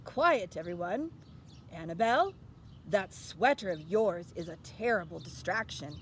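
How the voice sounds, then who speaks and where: Woman as a loud, stern male schoolteacher. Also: lowish voice, animated, measured pace, a little hiss or hum, pitched below the performer's own voice. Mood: exasperated, scolding. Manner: loud, scolding, emphatic.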